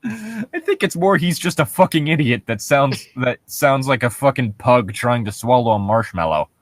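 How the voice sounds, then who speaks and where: A man with a middling-pitched voice co-hosts in a casual, joking style. He is irreverent and swears freely as he reads and comments on stories. The recording is close and dry, with very little room sound. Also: animated, moderate pace, no room sound. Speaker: co-host.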